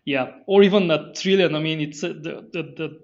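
A man's voice: on the high side, moderate pace, creaky, lo-fi sound. A man, a company founder guest on a podcast interview, talking with many fillers and restarts. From Bulgaria.

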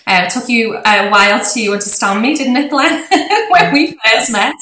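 Bright-voiced Liverpool woman, chatty. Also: a little high, lively intonation, moderate pace, roomy sound. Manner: chatty and laughing often. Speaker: guest, physiotherapist. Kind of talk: podcast interview.

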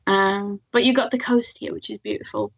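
Guest: Swedish-born woman, giggly, gravelly, slightly dull remote sound. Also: medium pitch, fairly even intonation, moderate pace, faint music behind. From Sweden. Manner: giggly, laughs often. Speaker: guest artist. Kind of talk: casual chat.

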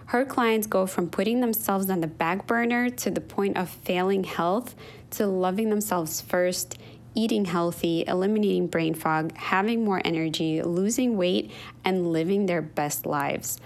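A woman hosts a podcast in a calm, measured voice. She reads her guest's introduction at an even pace and then moves into relaxed conversation. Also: on the low side. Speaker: podcast host. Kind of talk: scripted intro then casual interview.

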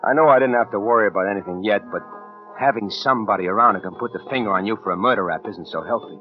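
Man acting a hard-boiled gunman, American accent, clipped slang, quick delivery, dull vintage 1940s radio sound. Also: fairly low pitch, close to an everyday voice. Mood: wary narration. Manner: slangy, tough-talking, clipped. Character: edgy, hard-boiled gunman narrating and bullying his girlfriend. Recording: muffled 1940s radio recording, faint music.

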